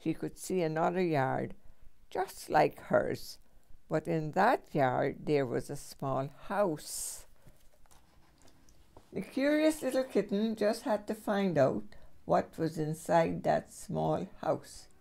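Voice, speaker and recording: An older woman reading a children's storybook aloud as a great-grandmother, with expressive storyteller phrasing. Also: deep voice, takes it slowly, gravelly.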